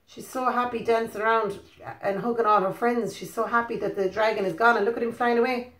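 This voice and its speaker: A woman's voice: on the high side, a little fast. Irish woman reading to small children, echoey room. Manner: expressive, questioning, simple child-directed talk. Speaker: preschool storyteller. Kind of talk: animated children's story reading with asides. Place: living room, indoors. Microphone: phone or webcam at about arm's length.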